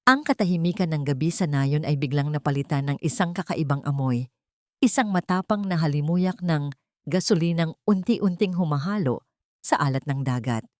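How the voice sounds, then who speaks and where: Woman, lower-pitched voice, dry close sound, dramatic story narrator, solemn and emotive. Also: moderate pace, a little mellow, dry recording.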